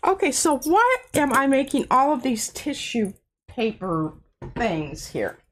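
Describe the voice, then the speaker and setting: Woman craft host, congested, animated delivery, rambling and self-mocking. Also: high voice, unhurried, slight background noise. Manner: chatty, self-deprecating, talks while working. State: stuffy nose. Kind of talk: casual art demonstration. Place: home art studio.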